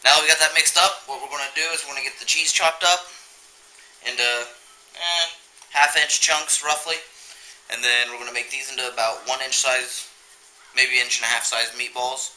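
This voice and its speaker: A man's voice: mid-range voice, normal speed. A man in his thirties hosting a home cooking video, talking casually and rambling through the steps at his kitchen table. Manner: relaxed, rambling, with fillers. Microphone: camera mic. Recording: echoey kitchen on a camera mic.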